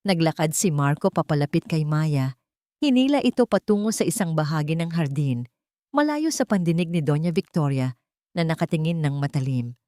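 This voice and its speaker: A woman with a slightly low voice narrates a romantic audio drama in a scripted, dramatic style. The recording is close and dry. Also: expressive, moderate pace, warm tone, no echo.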